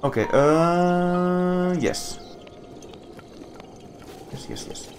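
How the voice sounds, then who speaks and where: A man streaming a game, thinking aloud in slow, musing casual commentary while he is losing his voice. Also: sits high, animated, soft background music. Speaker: gameplay streamer. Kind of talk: casual let's-play commentary.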